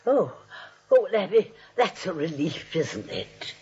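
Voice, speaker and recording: An older woman playing a gentle, innocent, dotty old aunt, sweet and earnest, in old radio comedy style. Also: fairly low pitch, sing-song, takes it slowly, husky, heavily acted. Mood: innocent, concerned. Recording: dull vintage radio recording.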